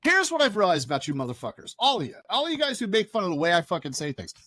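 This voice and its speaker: Man, podcast co-host, ranting, swearing, higher-pitched, dry sound. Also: big pitch swings, racing, pushed and bright, no echo. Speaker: podcast co-host and record store owner. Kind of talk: casual chat. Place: record shop.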